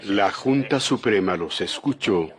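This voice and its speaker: A man's voice: fairly low pitch, expressive, unhurried, crowd noise. A man narrating a dramatized audio Bible as a scripted storyteller. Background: music.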